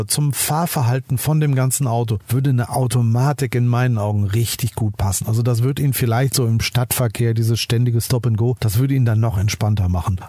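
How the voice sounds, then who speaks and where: A man's voice: mid-range voice, darkish tone, husky, dry recording. Enthusiastic German man reviewing a car. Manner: enthusiastic, chatty, addresses listener directly. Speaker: car podcast host. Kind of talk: casual car review monologue.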